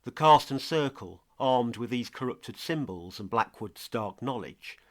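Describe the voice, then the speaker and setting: A man playing a grave investigative podcast presenter, narrating a scripted mystery in a measured, dramatic way. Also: mid-range voice, lively intonation. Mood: ominous.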